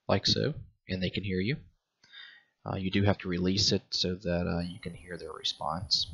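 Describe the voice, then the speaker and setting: A middle-aged man giving a casual product walkthrough as a reviewer, explaining step by step in a halting, hesitant way. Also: lowish voice, a bit bright, slightly rough.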